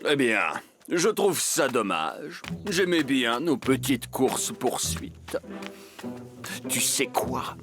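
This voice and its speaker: A man's voice: mid-range voice, expressive, takes it slowly, music in the background. A man voicing a menacing, mocking tiger villain in a cartoon dub, with a theatrical, taunting delivery. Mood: mocking, sly. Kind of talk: animated cartoon dub acting.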